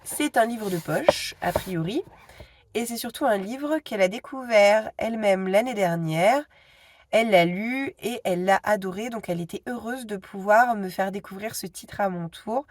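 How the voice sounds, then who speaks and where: A woman's voice: mid-range voice, normal speed, dry recording, studio quality. A woman in her thirties with a cold, chatting casually to her phone camera at home, hesitant and full of asides. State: stuffy with a cold. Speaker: vlogger.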